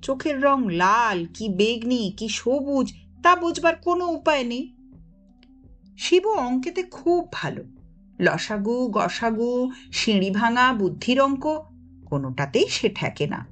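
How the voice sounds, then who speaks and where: A woman's voice: fairly high pitch, big pitch swings, takes it slowly, a bit bright. A woman narrating a children's story expressively, as an audiobook reader. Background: music.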